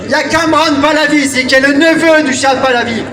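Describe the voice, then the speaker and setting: A man from Iran addressing and leading a rally as host, loud and emphatic, repeating his phrases. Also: very high-pitched, fast. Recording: echoey distant PA, loud crowd.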